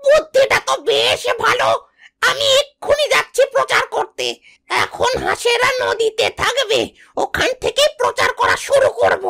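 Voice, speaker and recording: A woman's voice: extremely high pitch, huge pitch swings, moderate pace, vocal fry, a bit bright, heavily acted. A woman voicing a greedy young animal sidekick in a cartoon, whining and pleading as it talks. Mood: whiny. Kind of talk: cartoon voice acting.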